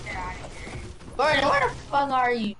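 A woman's voice: sits high, huge pitch swings, fairly bright tone, a little hiss or hum. A woman chatting casually during a video game, bossing and teasing friends over voice chat. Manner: bossy, bantering, quick to accuse.

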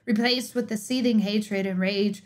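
A young woman giving a dramatic scripted narration, theatrical, with drawn-out emphasis and pauses, close on a streaming mic. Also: medium pitch, fairly even intonation. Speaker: streamer reading game lore aloud. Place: small indoor room, livestream setup. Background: music.

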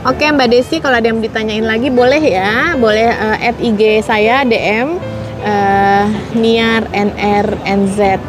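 A woman's voice: fairly high pitch, fairly even intonation, a little slow, music in the background. A woman in her forties from Indonesia, chatting casually to viewers on a city street and answering their comments, with many fillers, through a lapel mic. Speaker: vlogger. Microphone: clip-on lapel mic. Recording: outdoor street noise behind.

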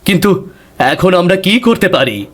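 A man's voice: sits high, very animated, a little slow, a bit bright, pitched above the performer's own voice, exaggerated voice. Man playing a worried village master.

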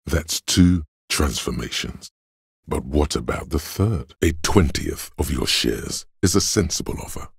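A cool, analytical inner voice of logic from a video game. It is profoundly low and heavily husky, with crackling fry at the edges. The delivery is measured and matter-of-fact, reasoning things through deliberately. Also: male voice, expressive, no room sound, clean studio sound, full character voice. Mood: analytical, composed. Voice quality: gravelly, husky bass. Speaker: voice actor. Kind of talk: scripted game narration as an inner voice.

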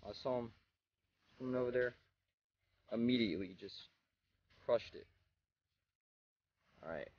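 A man's voice: medium pitch, measured pace, pauses often, a little mellow, highs cut off. A young man in his teens, recording himself on a phone by a small creek. He narrates a fishing trip in a rambling, easygoing way, often saying he isn't sure. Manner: rambling, laid-back, hedging with "I don't know". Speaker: fishing vlogger. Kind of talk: casual vlog commentary. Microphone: phone held at arm's length.

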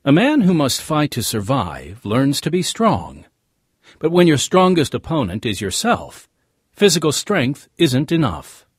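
A man's voice: fairly high pitch, wildly swooping pitch, measured pace, breathy edge, dry recording, clean studio sound. A man announcing and narrating a radio drama series in a scripted delivery. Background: music behind the voice.

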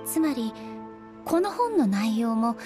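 A serene, noble female ruler from an anime game. The voice is fairly high, with formal, measured and polite delivery. Music runs behind the words. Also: sing-song. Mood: composed, thoughtful. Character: composed, dignified female ruler of an island nation. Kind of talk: anime video game voice acting.

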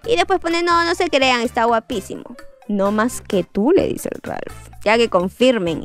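A woman hosting a gossip show, narrating in a chatty, teasing way. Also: fairly high pitch. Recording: voice-over with light background music.

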